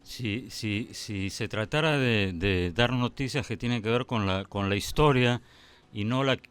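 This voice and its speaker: El conductor de un programa de debate político, que conversa, interrumpe y bromea con su invitado. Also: male voice, fairly low pitch, unhurried, fairly bright tone, clean tone. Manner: conversational, interjecting, joking banter. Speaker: talk-show host. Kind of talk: political talk-show discussion.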